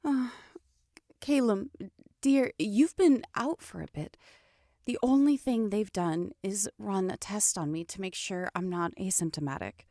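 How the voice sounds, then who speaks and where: An actress playing an earnest, worried but assertive fiancée in a survival horror audio drama. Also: female voice, medium pitch, a little slow, warm tone, no echo, clean studio sound, natural voice. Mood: concerned, pleading. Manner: earnest, urgent. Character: worried, assertive female fiancée of a quarantined man. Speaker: voice actor.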